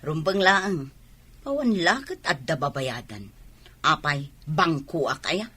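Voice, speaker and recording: A woman with a Filipino accent acting a curt, nagging mother from a poor mountain family in a radio drama, with a husky voice. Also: low voice, animated, takes it slowly, a bit bright, heavily acted. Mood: irritated scolding.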